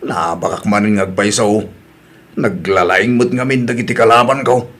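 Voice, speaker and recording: A man's voice: fairly low pitch, steady tone, normal speed, a bit bright. A man with a northern Philippine accent playing an older, evasive, defeatist gambling father in an audio drama. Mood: glum, uneasy.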